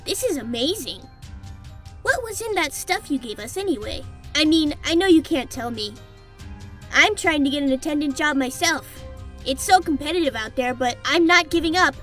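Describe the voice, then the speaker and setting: A woman's voice: high-pitched, animated, moderate pace, a bit bright, faint music behind. A woman playing a chatty, nervous young goblin girl, apologetic and eager, in an audio drama. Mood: excited, eager. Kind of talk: audio fiction character acting.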